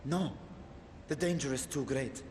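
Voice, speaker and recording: An actor playing a grave, cautious sage in a video game, with solemn, formal and archaic diction. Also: male voice, medium pitch, measured pace, darkish tone, soft background music, close to an everyday voice. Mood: stern, warning. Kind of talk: scripted video game dialogue.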